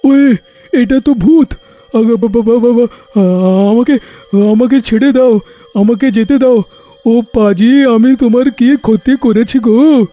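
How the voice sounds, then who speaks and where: A hapless cartoon truck driver, acted by a man, blustering and pleading in plain colloquial speech. The voice is high and mellow, with faint music behind it. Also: unhurried, no room sound. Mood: terrified, pleading.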